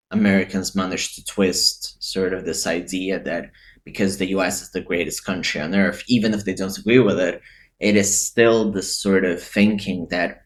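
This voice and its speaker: A man who grew up in Eastern Europe, giving casual, reflective opinion commentary and thinking aloud. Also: low voice. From Eastern Europe, Lithuania and Ukraine.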